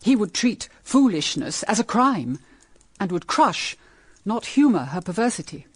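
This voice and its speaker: An actress playing an anxious, brooding middle-aged British mother in a period radio drama, with grave, measured, dramatic phrasing. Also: mid-range voice, big pitch swings, slightly rough, no room sound. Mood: grave, foreboding. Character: anxious, brooding mother of two grown daughters.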